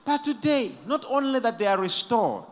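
A man leading a church service, preaching emphatically and shouting blessings between quieter questions to the people testifying. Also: extremely high pitch, lively intonation, normal speed, long reverb tail.

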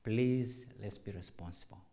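A man speaking as a church leader in a formal public address, deliberate and emphatic, in short measured phrases. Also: lowish voice, dark tone. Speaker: church director and apostle giving a public address.

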